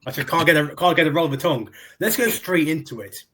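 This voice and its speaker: A man's voice: fairly high pitch, expressive, fast talker, a bit bright, husky. Chatty British male interview host. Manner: chatty, friendly, calls people "mate". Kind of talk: casual interview hosting.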